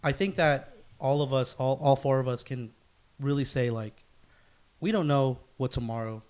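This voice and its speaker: A man's voice: medium pitch, normal speed, darkish tone, smooth. Earnest man hosting a podcast. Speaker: podcast host. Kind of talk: casual podcast discussion.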